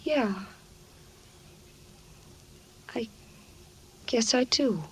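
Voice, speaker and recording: A distraught young girlfriend in an old radio thriller. Her voice is very high, and she talks in halting, naive pleas with a working-class directness. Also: slight background noise. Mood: quiet, sheepish. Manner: halting, pleading. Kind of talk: 1940s radio acting.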